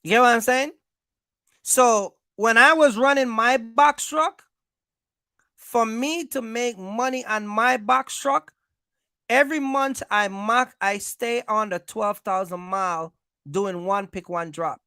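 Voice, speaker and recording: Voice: mid-range voice, takes it slowly, pushed and bright, smooth, no room sound, clean studio sound. An American livestream host giving informal business advice, speaking emphatically, repeating points and checking in with listeners.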